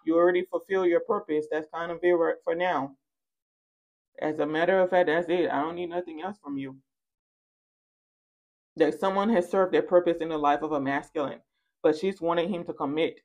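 A woman's voice: low voice, steady tone, brisk, pauses often, dull audio. A woman hosting a casual tarot reading, talking in a playful storytelling way with slangy endearments. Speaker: tarot reader and channel host. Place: indoors at a card table.

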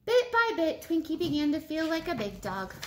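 High, lively woman reading a children's storybook. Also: a little hiss or hum. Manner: expressive, emphatic storytelling with deliberate phrasing. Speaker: teacher reading a picture book aloud to pupils. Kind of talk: children's storybook read-aloud. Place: living room at home, indoors.

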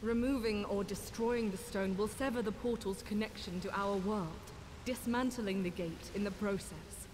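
A woman's voice: mid-range voice, normal speed, noisy background, natural voice. A woman as an earnest scholarly vigilant, cavernous reverb. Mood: earnest, determined. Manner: articulate, formal, earnest. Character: determined, scholarly female vigilant, earnest and persuasive. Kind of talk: fantasy video game voice acting.

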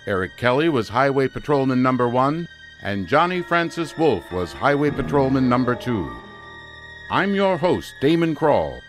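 A male radio drama host delivers scripted closing credits in an old-time announcer style. His mid-pitched voice moves in lively swings over clearly audible music. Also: moderate pace.

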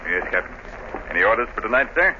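An actor playing a wary, deferential, superstitious ship's captain in 1930s radio drama style. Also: male voice, fairly low pitch, big pitch swings, fast, pushed and bright, exaggerated voice. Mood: respectful. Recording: dull, noisy 1930s radio recording.

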